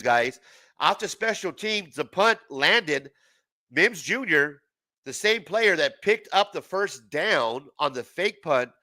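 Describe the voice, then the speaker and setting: A congested man in his forties runs a casual live watch-party stream, mixing play-by-play with chatter and the odd curse. His voice comes across bright and forward. The sound is close and dry, with no room around it. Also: a little high, dry recording. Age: 40s. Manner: loose, profane, chatty, laughs at his own jokes. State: congested from a cold.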